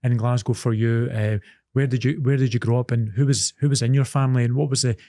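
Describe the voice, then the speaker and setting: A man's voice: mid-range voice, steady tone, fast talker, mellow, no room sound, studio quality. A man, an actor and presenter, interviewing a guest on a podcast, encouraging her and often repeating himself as he goes. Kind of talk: podcast interview, scripted intro then casual chat.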